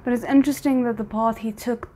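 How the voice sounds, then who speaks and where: A woman with a fairly high voice reacts casually to a drama series. She thinks aloud as she goes, halting and rephrasing in an informal, conversational way. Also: steady tone, warm tone, slight background noise. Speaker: reaction video host.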